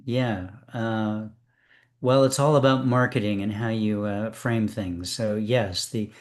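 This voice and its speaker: A man's voice: on the low side, fairly even intonation, slow pace. A man casually hosting a poetry open reading, chatting in an encouraging way.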